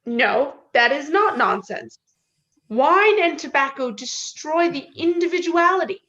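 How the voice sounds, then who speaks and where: A woman playing a dismissive, philosophizing country doctor in a play reading over a video call, measured and matter-of-fact. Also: high voice, expressive, fairly bright tone. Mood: lecturing. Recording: slightly dull video-call audio.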